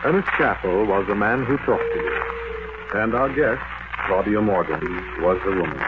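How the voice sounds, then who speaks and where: A man making formal, measured closing announcements on a 1940s radio program. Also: lowish voice, expressive. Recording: muffled old radio recording with loud hiss.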